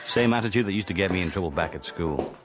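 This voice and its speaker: A world-weary secret agent in a radio thriller. The voice is very low, and the delivery is dry, sardonic and understated, with music prominent behind it. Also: male voice, lively intonation, fast. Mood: brooding, wry. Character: wry, world-weary Cold War secret agent, insubordinate. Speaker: narrator and lead spy. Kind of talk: radio drama first-person narration.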